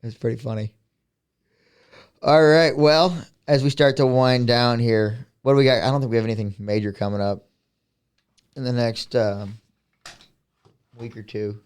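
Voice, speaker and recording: A young man co-hosts a casual podcast, joking and laughing as he tells his stories. His voice sits at an ordinary middle pitch. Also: steady tone, moderate pace, long pauses, smooth. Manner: jokey, laughs while telling stories. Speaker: podcast co-host. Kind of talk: casual chat.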